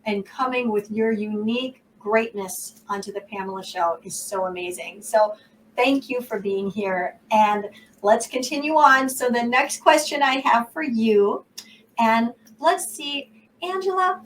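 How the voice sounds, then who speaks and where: A woman's voice: a little high, a little slow, distant mic, slight background noise, low-quality recording. An American woman hosting an online talk show, effusive and enthusiastic. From United States. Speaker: show host.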